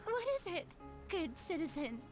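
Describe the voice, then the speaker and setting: A woman voicing a theatrical water goddess who is grand in public but hushed and anguished when alone. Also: sits high, very animated, moderate pace. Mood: regal. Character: theatrical water goddess, regal yet secretly lonely and weary. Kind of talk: game voice acting. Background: game music.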